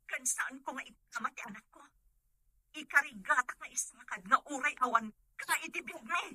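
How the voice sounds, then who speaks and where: Woman as proud young ilocano gal in a drama, high piercing voice, indignant n firm, dry audio. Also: a little fast, bright, forceful tone, breathy edge, no echo, exaggerated voice. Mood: wounded resolve. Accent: Ilocano Filipino. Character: proud, wronged young woman defending herself. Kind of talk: radio-style comedy drama acting.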